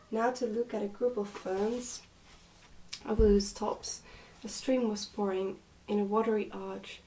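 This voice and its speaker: A woman reading a storybook as soft-spoken, measured ASMR narration. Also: mid-range voice, echoey room, far from the mic, low-quality recording. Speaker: storybook reader.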